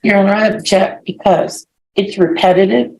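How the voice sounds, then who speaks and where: A woman acting as defense attorney in court, objecting in a brisk, firm way. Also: medium pitch, fairly even intonation, vocal fry, darkish tone, a little hiss or hum, poor audio.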